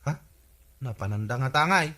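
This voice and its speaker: An actor playing a skeptical, chiding villager with an Ilocano Filipino accent in a radio comedy drama. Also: male voice, medium pitch, animated, normal speed, pushed and bright. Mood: gossipy.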